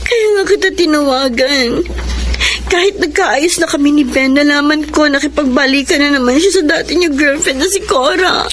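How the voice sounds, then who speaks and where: A woman's voice: high voice, moderate pace, slight background noise. An actress playing a heartbroken, devoted young woman in an emotional, plaintive radio melodrama style. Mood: troubled. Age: young adult. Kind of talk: radio melodrama acting and letter narration. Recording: music bed under the voice. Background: loud dramatic music.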